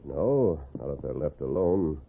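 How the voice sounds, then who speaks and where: An actor playing a masked heroic western lawman in 1940s radio style, speaking with firm, earnest, measured authority. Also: rumbling low, very animated, slightly rough, exaggerated voice. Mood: grave, firm. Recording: tinny, noisy 1940s radio recording.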